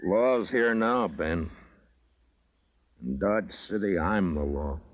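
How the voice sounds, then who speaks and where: An actor playing a stern, weary Old West marshal in a terse 1950s radio western style. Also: male voice, low-pitched, lively intonation, slow pace. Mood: weary, resolute. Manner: terse, laconic. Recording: muffled old radio recording.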